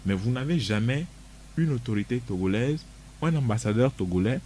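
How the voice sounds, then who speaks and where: A man, speaking as a guest on a talk radio show. He argues emphatically, circling back and repeating words as he builds his point. His voice sits at an everyday middle pitch. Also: lively intonation, fairly quick, warm tone, slight background noise. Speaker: studio guest. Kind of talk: radio discussion.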